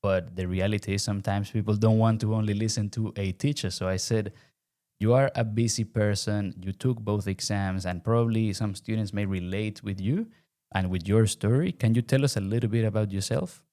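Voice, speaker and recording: A man with a fairly low voice hosting a podcast interview. He speaks as a teacher and puts direct, friendly questions to his guest. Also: brisk, warm tone. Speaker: host, English test teacher.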